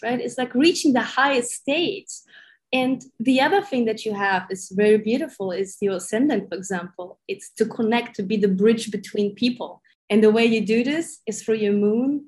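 A woman from Ukraine, a coaching guest on a podcast, talking warmly and encouragingly with slightly phrasing. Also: on the high side. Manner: warm, encouraging, slightly non-native phrasing. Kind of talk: conversational interview.

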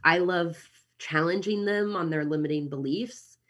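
Husky low-voiced American woman, upbeat. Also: normal speed, highs cut off. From Kansas City, USA. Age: 30s. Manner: upbeat, animated, chatty with many restarts. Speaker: podcast guest. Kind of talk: casual interview chat.

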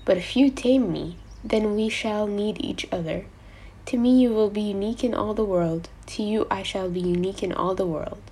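A woman voicing a gentle, wistful male fox from a storybook, speaking in an earnest, measured way. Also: medium pitch, slightly rough, poor audio. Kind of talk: storybook character voice.

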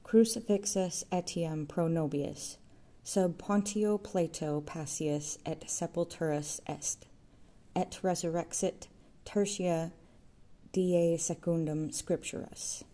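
A woman voicing a proud, bitter young workman who declaims loudly and scornfully. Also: lowish voice, fairly even intonation, takes it slowly. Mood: declaiming. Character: proud bitter young male stonemason, tipsy.

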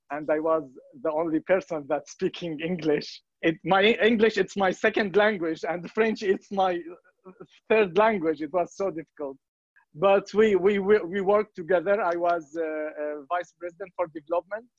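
A man in his thirties from Syria, speaking English as an in a casual testimonial, halting with many fillers and restarts. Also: on the high side, dry recording. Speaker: volunteer organization member. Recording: dry, muffled lo-fi sound.

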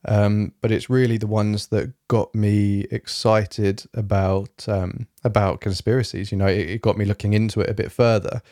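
A young man hosting his solo podcast in a rambling, fairly monotone way, with lots of fillers and a slightly rough throat. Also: lowish voice, moderate pace, few pauses, dry recording, studio quality. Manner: rambling, many fillers and restarts. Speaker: podcast host. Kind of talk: casual solo podcast chat. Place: home, evening, quiet room.

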